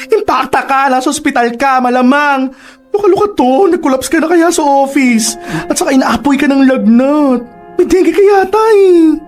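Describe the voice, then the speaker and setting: A voice actor playing a flamboyant, gossipy office friend who talks loudly and dramatically, in a radio drama. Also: high voice, moderate pace, soft background music, close to an everyday voice. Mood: fussing. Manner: chatty, teasing, shrieks in panic.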